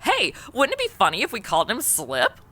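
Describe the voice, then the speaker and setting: A woman's voice: a little high, wildly swooping pitch, brisk, pushed and bright. A woman hosting and narrating a comedy audio drama in a cheeky, sarcastic, conversational manner. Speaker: comedy podcast host and narrator.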